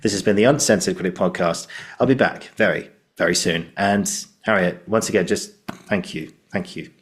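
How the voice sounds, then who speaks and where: A man's voice: deep voice, moderate pace. A man hosting a conversational interview, effusive and given to repeating himself. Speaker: podcast host.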